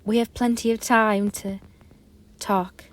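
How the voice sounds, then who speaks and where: A woman's voice: medium pitch, flat delivery, takes it slowly, no room sound, lightly acted. A woman playing an assured, teasing wife in a radio play, witty and in command.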